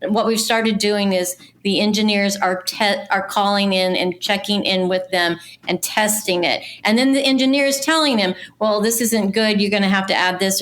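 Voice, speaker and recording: A woman talking casually about her industry in an animated, conversational way. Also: medium pitch. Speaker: voice casting professional.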